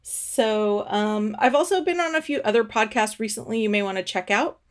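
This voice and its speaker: A woman's voice: medium pitch, moderate pace. Woman host, easygoing, laughs a lot, video call audio. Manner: chatty, laughs often. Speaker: podcast host. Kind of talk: casual interview over video call.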